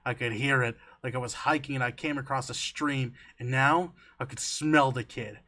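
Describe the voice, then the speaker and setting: A man reading a horror story aloud on a stream, expressive and emphatic, slipping between dramatic narration and casual asides. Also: mid-range voice, normal speed. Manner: expressive, emphatic, swearing, breaking into amused asides. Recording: music under the voice. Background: background music.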